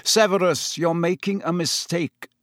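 A man voicing an earnest, reasonable man who pleads urgently and softly in an audiobook. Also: medium pitch, measured pace, no room sound, studio quality, close to an everyday voice. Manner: urgent, soft-spoken pleading.

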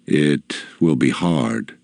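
A man reading an audiobook, voicing a solemn old bearded camp leader with a soft, deep, slow voice. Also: creaky, dry recording, clean studio sound, exaggerated voice.

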